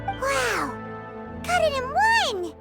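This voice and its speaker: A woman voicing a tiny, cheeky, childlike female companion sprite in a video game, squeaky, curious and full of exclamation. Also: wildly swooping pitch, very slow, creaky, exaggerated voice. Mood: surprised, curious. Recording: loud music behind.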